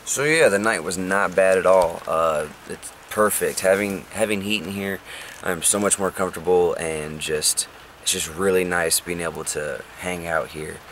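A young man with a low, deep voice talks casually into a close camera from inside a parked van. He rambles, filling his sentences with ums and likes. Faint rain and vehicle noise sit behind him. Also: normal speed, fairly bright tone. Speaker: vlogger.

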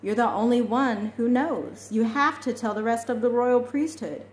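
A woman's voice: a little high, normal speed, lightly acted. Woman reading as kindly, mysterious storybook mentor. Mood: earnest. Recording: echoey room with faint noise.

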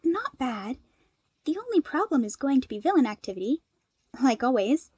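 A woman voicing an earnest, cheerful, analytical young man with an eager, animated manner in a story reading. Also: high voice, normal speed, breathy edge, dry recording, dull audio, exaggerated voice. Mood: wry.

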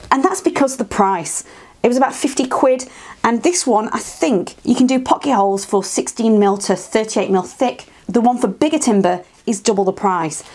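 A woman's voice: on the high side, darkish tone. A woman in her thirties with a British accent, chatting enthusiastically to camera about woodworking tools in a small wooden workshop shed, on a lapel mic. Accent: British English. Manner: chatty, enthusiastic, frank asides. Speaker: woodworking vlogger. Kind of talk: casual tool walkthrough to camera.

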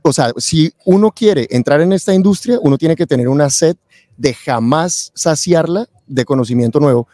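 A man's voice: fairly high pitch, moderate pace, mellow, no echo, studio quality. A man, telling his own story casually as an interview guest. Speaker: interview guest, tax lawyer and accountant. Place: convention event booth, indoors. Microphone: close boom-arm microphone with headset.

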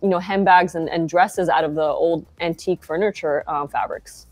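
A woman's voice: lowish voice, steady tone, normal speed, faint music behind. A young woman from Argentina, a founder guest on a video call, talking casually with many fillers. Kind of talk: casual interview chat. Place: bright shop interior, video call.

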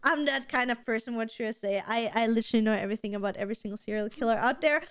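A young woman with a German accent chats casually as a podcast co-host in a gaming room lit with colorful LEDs. Her voice sits a little high, and she talks in an animated way, stringing thoughts together with plenty of "like" fillers. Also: racing, clear, resonant tone. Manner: chatty, animated, frequent "like" fillers. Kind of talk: casual chat.

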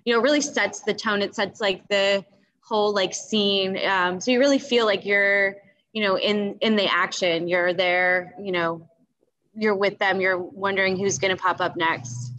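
A woman's voice: medium pitch, fairly even intonation, measured pace, a bit bright, dull audio, a little hiss or hum. Young woman chatting, searching for words. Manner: hesitant, searching for words, frequent "you know". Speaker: book club co-host. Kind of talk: casual book discussion. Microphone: video call computer mic.

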